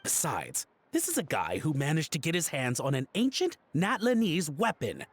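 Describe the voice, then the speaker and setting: An actor playing a nervous, guilty antique collector in a video game, melodramatic and easily flustered. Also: male voice, a little high, wildly swooping pitch, normal speed, breathy edge, no echo, full character voice. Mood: hesitant, explaining. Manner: flustered stammering and melodramatic pleading. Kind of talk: voice-acted game dialogue.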